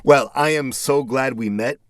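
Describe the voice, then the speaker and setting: A man voicing a chatty, nosy, eccentric male neighbor in an audio story, with a folksy, rambling, excitable way of talking. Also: mid-range voice, a little slow, dry recording, clean studio sound, pitched above the performer's own voice, close to an everyday voice. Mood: eager, friendly.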